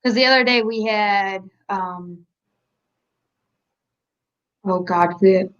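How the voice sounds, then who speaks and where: A woman's voice: medium pitch, takes it slowly, a bit bright. Casual woman on muffled lo-fi chat.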